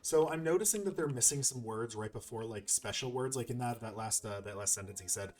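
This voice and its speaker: A man's voice: medium pitch, fairly quick, darkish tone. A man giving casual commentary on a game playthrough, musing aloud in a rambling way. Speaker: gameplay video host. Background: music.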